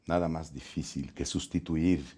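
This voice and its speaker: An actor playing a grave, unyielding nineteenth-century statesman in a period film, speaking in a solemn, measured way. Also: rumbling low, steady tone, mellow, husky. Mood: stern.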